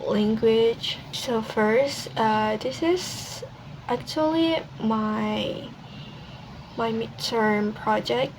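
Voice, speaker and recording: A young woman from Indonesia, a university student with an Indonesian accent, presenting casually in a second language with many pauses and restarts. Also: fairly high pitch. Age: young adult. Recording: steady background noise.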